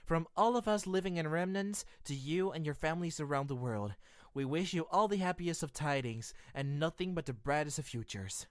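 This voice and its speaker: A woman voicing a sweet, sincere young hero, earnest and expressive, reading a scripted holiday show message. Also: low voice, normal speed, no echo. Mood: heartfelt. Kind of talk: scripted show sign-off, audio drama.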